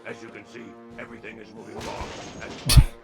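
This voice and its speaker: A gravelly alien lieutenant in a cartoonish video game. He is deferential and clipped, a soldier eager to please his superior, with very loud music behind him. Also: on the low side, completely flat delivery, fast. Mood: dutiful. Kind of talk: animated video game cutscene acting.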